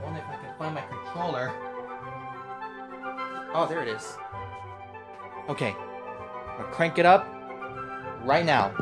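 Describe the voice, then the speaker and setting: Game streamer, mid-pitched and animated, slangy casual commentary over clear background music. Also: a little slow, long pauses.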